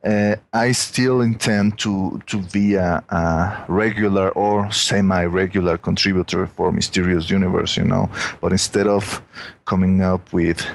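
Slow, gravelly man with Mexican accent. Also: low-pitched, fairly even intonation. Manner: rambling, lots of "you know". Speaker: guest. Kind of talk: casual podcast chat.

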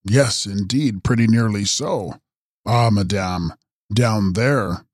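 A man voicing a mysterious, ageless male count who makes grave, measured prophecies in an audiobook. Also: lowish voice, no room sound, studio quality. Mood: lamenting. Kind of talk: audiobook character reading.

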